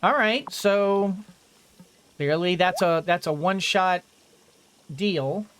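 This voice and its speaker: Game streamer, slow casual commentary, middling pitch, thinking aloud, faint noise and clicks. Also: fairly bright tone.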